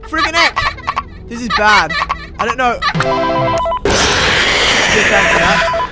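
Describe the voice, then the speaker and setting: A young man giving excitable gameplay commentary as the host, exclaiming and often repeating his words. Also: extremely high pitch, takes it slowly. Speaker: gaming video host. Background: game music and sounds.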